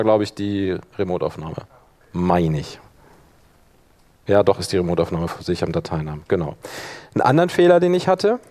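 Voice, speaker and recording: A middle-aged man giving an informal software tutorial, conversational and thinking aloud as he demonstrates. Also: lowish voice. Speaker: workshop presenter. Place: indoor room at a workshop, in front of an audience.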